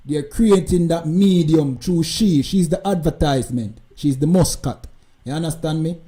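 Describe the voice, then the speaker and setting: A man's voice: fairly high pitch, warm tone. A Jamaican man hosting a live stream, talking emphatically and repeating "you know what I mean" as he comments.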